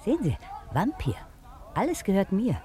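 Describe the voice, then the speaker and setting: A woman's voice: medium pitch, huge pitch swings, normal speed, warm tone. An actress in a radio play playing a poor, cheated old woman, confiding and obsessively insistent about her rights. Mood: fixated, insistent. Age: older.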